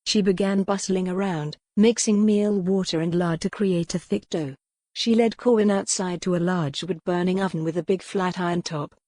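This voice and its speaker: Lower-pitched woman narrating, very dry. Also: normal speed, warm tone, no room sound. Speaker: audiobook narrator. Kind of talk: scripted romance novel narration. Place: dry recording space.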